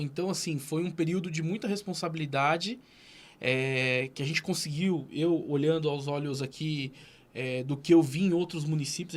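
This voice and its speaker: A man, a city health official, explaining at length in an interview with many restarts. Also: on the high side. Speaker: guest, city health secretary. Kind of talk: interview answers.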